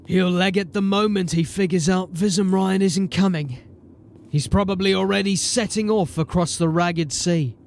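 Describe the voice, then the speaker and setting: High-pitched, commanding fantasy watch sergeant. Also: male voice, normal speed, gravelly, a little hiss or hum. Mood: wry, grim. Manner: commanding, wry, grimly determined. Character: gruff, resolute male city watch sergeant turned captain. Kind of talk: audio drama acting and first-person narration. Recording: music behind the voice. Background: music.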